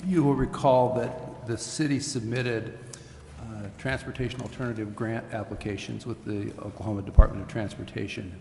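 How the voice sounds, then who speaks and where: Gravelly, very flat-voiced man giving an update. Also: lowish voice. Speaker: city official reporting to council. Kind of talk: formal update at a public meeting. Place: indoor city council chamber. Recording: echoey, noisy council chamber.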